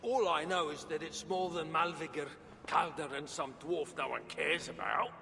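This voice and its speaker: An actor playing a gruff, blunt, grumbling dwarf who curses freely in a video game cutscene. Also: male voice, a little high, normal speed, sharp tone. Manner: blunt, colloquial, cursing. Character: gruff, excitable, grumbling dwarf workshop owner. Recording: long reverb, faint background noise.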